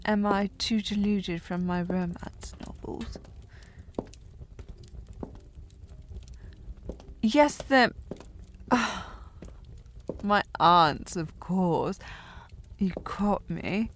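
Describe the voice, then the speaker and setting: A woman performs a young high-born lady from a historical romance roleplay, proud and a little tipsy. Her mid-pitched voice is prim and formal, often slipping into a breathy near-whisper. Faint noise and clicks sit behind her. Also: very slow. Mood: wistful, tipsy. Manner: haughty, prim formal diction, slightly tipsy. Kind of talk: historical romance audio roleplay, intimate one-sided dialogue.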